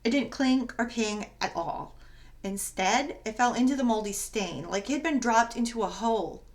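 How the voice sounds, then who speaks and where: A woman reading a children's story aloud as narrator, in an expressive, dramatic and emphatic way. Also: fairly high pitch, moderate pace. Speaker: story reader.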